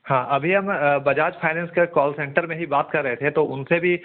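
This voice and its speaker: A man's voice: a little high, steady tone, moderate pace. A man from India questioning someone over the telephone as a journalist, hesitant, polite but openly doubting. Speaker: journalist questioning a suspected scammer. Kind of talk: questioning phone conversation. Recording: tinny narrowband phone call recording.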